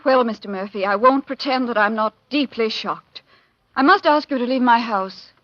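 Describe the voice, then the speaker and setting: A woman's voice: fairly high pitch, normal speed, natural voice. An actress playing a proud, easily indignant titled lady in an old radio mystery, formal, haughty and emphatic. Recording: dull, muffled old radio recording.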